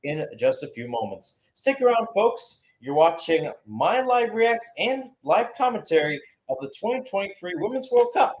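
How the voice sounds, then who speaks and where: A man's voice: sits high, very animated, normal speed, slight background noise. A man giving live play-by-play football commentary.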